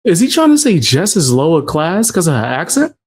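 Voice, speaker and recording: A man's voice: a little high, huge pitch swings, fairly quick, breathy edge. A man giving casual reaction commentary in a slangy, ribbing, incredulous way.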